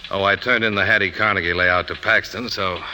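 A man's voice: low-pitched, steady tone, fairly quick, pushed and bright, a little hiss or hum. An actor playing a cocky, plain-spoken magazine man in a 1950s radio drama. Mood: gruff, candid. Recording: vintage 1950s radio broadcast.

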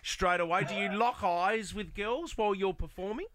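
Bright, crisp host voice, close and dry. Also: male voice, high-pitched, moderate pace, no echo. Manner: teasing, friendly questions. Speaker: radio host. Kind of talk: casual radio chat.

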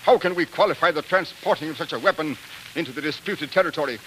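A man acting a stiff, indignant army colonel with formal, forceful speech in a 1940s radio drama. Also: on the high side, quick pace, rough recording. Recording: faintly hissy 1940s radio recording.

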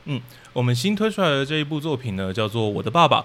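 Brisk Taiwanese man explaining his work. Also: medium pitch, very animated, fairly bright tone. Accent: Taiwanese Mandarin. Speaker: podcast guest, audio drama troupe leader. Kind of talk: casual explanatory interview talk.

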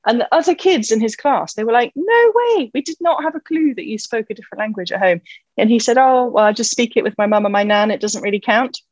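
A woman's voice: fairly high pitch, lively intonation, quick pace, darkish tone, dry recording, lo-fi sound. A woman talking enthusiastically in a casual conversation about languages, with lots of "you know" fillers.

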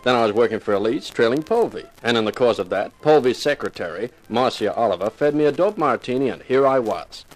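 An actor playing a wry, hard-drinking private detective in a 1950s radio crime drama, with sardonic delivery. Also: male voice, medium pitch, animated, a little fast, hardly any pauses, faint music behind, close to an everyday voice, low-quality recording. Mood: wry, brooding. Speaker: lead actor and narrator.

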